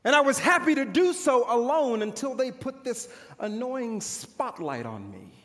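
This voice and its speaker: A man performing a theatrical stage monologue as a proud, bitter army commander, pompous and declamatory, with dramatic pauses. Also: extremely high pitch, big pitch swings, fairly bright tone, exaggerated voice. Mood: irritated. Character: proud, boastful male army commander of ancient Israel's era, bitter. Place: large church hall stage. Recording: echoey hall with long reverb.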